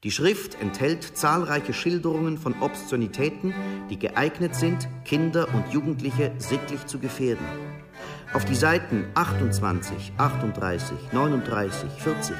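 A man's voice: mid-range voice, animated, moderate pace, music in the background. A man formally reading out an official document in a precise, bureaucratic manner.

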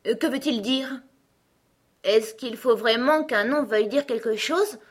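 A woman voicing a polite, gentle seven-year-old girl in a storybook, with an earnest, courteous manner. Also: high voice, expressive, normal speed, pitched above the performer's own voice. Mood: timid, doubtful. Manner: polite, soft-spoken, earnest. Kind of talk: storybook character acting.

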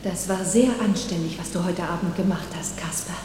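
A woman as a gentle ghostly mother in echoing reverb. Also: lowish voice, fairly even intonation, fairly quick, lightly acted. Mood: kindly, gracious. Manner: tender, reassuring, solemn. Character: gentle angelic ghost of a loving dead mother. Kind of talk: film audio drama acting. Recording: echoey hall, distant, faint noise.

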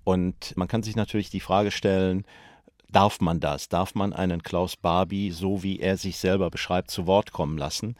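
A male journalist gives explanatory commentary in a very low voice. He phrases things deliberately and with emphasis, in a close, dry recording. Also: darkish tone, dry recording.

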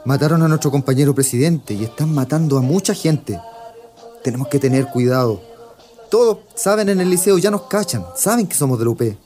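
A man's voice: medium pitch, animated, a little fast, dark tone, soft background music, natural voice. A man acting a determined, idealistic young student leader in a radio drama, colloquial, with a Chilean accent. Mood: worried, urgent. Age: young adult. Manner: colloquial, earnest.

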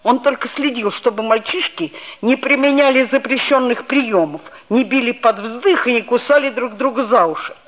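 A woman's voice: on the high side, normal speed, few pauses, natural voice. A woman playing a boastful, touchy schoolboy who tells his story expressively and earnestly in a 1960s Soviet radio play. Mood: aggrieved, earnest. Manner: expressive, self-important, earnest. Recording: dull old radio recording, faint music.

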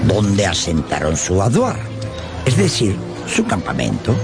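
An actor playing a dramatic radio narrator of an old tale, given to confiding asides to the listener. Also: male voice, lowish voice, wildly swooping pitch, measured pace, creaky, full character voice. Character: animated male storyteller of an old tale. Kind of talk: theatrical radio storytelling narration. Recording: music behind the voice. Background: music.

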